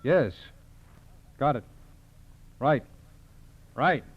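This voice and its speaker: An actor playing a brisk, matter-of-fact FBI agent in an old-time radio crime drama. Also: male voice, mid-range voice, lively intonation. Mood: grave, brisk. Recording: dull, faintly noisy old radio recording.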